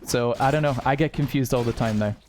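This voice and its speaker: A man with a mix of British and American English, calmly analyzing a live esports match and laughing easily. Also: medium pitch, fast, a little hiss or hum. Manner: easygoing, laughs often, analytical. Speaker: esports co-caster. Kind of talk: live game commentary.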